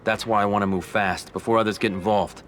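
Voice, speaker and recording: An actor playing a smooth, ambitious Italian-American mafia boss in a video game, speaking in a measured, persuasive American voice. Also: male voice, on the low side, fairly even intonation, fairly bright tone, slight background noise, close to an everyday voice. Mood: firm, persuasive. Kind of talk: video game cutscene acting.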